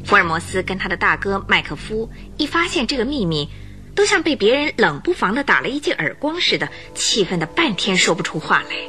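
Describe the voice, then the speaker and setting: Bright, animated woman narrator. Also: medium pitch, brisk. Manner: animated, dramatic storytelling. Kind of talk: storytelling radio drama narration. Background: music bed.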